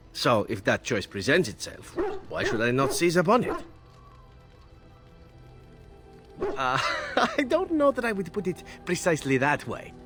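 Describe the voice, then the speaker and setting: A man playing a flippant, smooth-talking elven assassin in a video game, with a wry, playful manner. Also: medium pitch. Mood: amused, wry. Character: suave, flippant elven male assassin, cheerfully cynical. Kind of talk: video game dialogue acting.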